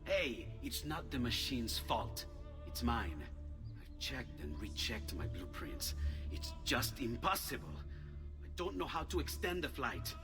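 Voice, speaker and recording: An actor playing an excitable, earnest Renaissance inventor with an Italian accent in a video game. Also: male voice, mid-range voice, unhurried, distant mic. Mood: defensive, frustrated. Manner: expressive, earnest, exclamatory. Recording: echoey reverb, music underneath. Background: game music.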